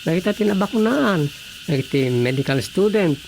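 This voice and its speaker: An actor as a sensible village man in a Filipino radio drama. His voice is gravelly yet slightly high, with a Filipino accent and faint noise behind. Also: animated, normal speed, darkish tone. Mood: persuading. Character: sensible village man reasoning with worried relatives.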